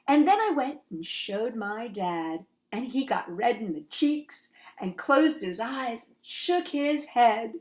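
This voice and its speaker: A woman hosting story time for young children, reading and talking expressively and putting simple questions to her listeners. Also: medium pitch. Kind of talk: story time for young children with read-aloud and sing-along songs.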